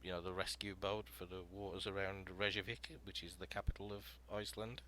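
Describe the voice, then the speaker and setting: Deep-voiced, bright-toned male podcast host. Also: little pitch movement, a little fast, slight background noise. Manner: explanatory, storytelling, filler-heavy. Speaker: podcast host leading a writing panel. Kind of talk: casual panel discussion.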